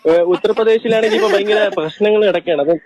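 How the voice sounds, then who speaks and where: A man's voice: high voice, racing, a little mellow, dry recording. A man making a prank call, pleading with feigned innocence through a radio-like line. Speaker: prank caller. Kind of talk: mock-earnest phone conversation. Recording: dull, band-limited phone line.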